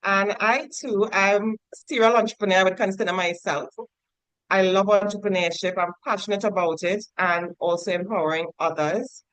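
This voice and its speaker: A woman with a Trinidadian accent, introducing herself as an entrepreneur on a panel in a measured, proud way. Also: medium pitch, fairly even intonation, fairly bright tone, no room sound, low-quality recording. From Trinidad and Tobago. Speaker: guest, entrepreneur. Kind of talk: panel guest self-introduction.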